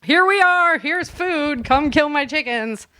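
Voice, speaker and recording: A woman hosting a casual chat show in an informal, animated, laughter-prone manner. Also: high-pitched, unhurried, dry recording, rough recording. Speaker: podcast host.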